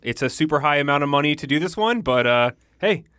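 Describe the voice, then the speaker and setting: A man's voice: fairly high pitch, lively intonation, fast, a bit bright, smooth, dry recording. A man co-hosting a podcast, chatting casually and making dry, sarcastic jokes. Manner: joking, dry sarcastic asides. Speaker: podcast co-host. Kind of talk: casual chat.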